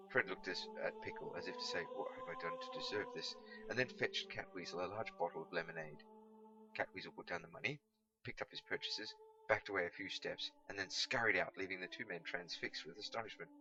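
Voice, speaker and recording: A man reading a storybook aloud as scripted narration, in an expressive, dramatic manner. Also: low voice, a little fast, creaky, lo-fi sound, music in the background. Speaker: amateur audiobook reader.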